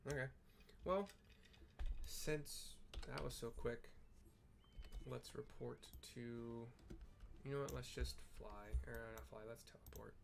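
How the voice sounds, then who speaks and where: A man's voice: medium pitch, slow, creaky, pauses often, noisy background. A man narrating his gameplay in casual commentary, chatty and descriptive with playful asides. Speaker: gaming video narrator.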